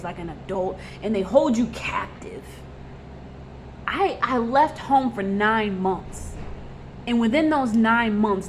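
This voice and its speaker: A woman's voice: mid-range voice, slight background noise, poor audio. A woman, talking bluntly and rawly in a personal confessional vlog, repeating herself and using many fillers. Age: 20s. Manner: blunt and raw, vents with frequent fillers and repeats. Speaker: vlogger.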